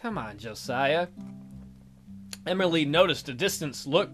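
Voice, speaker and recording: A man's voice: a little high, lively intonation, measured pace, fairly bright tone. A man narrating a let's play of a visual novel, reading aloud with playful, irreverent asides. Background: faint guitar music.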